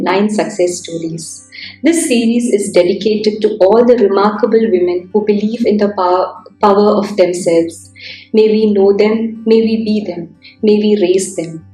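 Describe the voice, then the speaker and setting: A woman's voice: mid-range voice, a little mellow. Woman reading a formal introduction, echoey. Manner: formal, reads from a script. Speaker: interview host for a consulate series. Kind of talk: read introduction, then interview.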